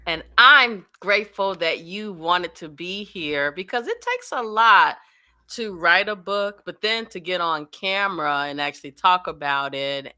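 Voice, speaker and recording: A woman hosting an interview show, introducing her guest in a deliberate, welcoming way. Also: fairly low pitch, sharp tone, clean tone, dry recording, clean studio sound. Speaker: host.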